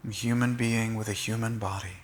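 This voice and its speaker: A man leading a guided body-scan meditation in short, gentle, measured phrases with long pauses. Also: on the low side, fairly even intonation, faint music behind.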